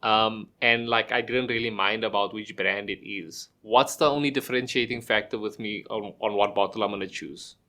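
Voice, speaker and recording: A man's voice: on the low side, steady tone, moderate pace, few pauses, pushed and bright. A middle-aged man, a podcast guest, talking casually and explaining with everyday examples, restarting phrases and asking rhetorical questions. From South Africa.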